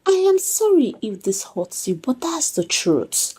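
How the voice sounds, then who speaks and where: A woman storyteller puts on a caller breaking bad news. She is careful and sympathetic. Her pitch jumps up high, with a slightly husky tone. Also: sing-song, warm tone, exaggerated voice. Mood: apologetic. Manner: cautious, deliberate. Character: cautious, sympathetic informant on a phone call.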